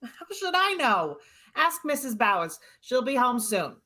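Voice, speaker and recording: A woman's voice: fairly high pitch, very animated, unhurried, noisy background. A woman playing a curt, irritable boarding-house woman in a vintage-style radio drama, blunt and snappish. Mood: curt, annoyed.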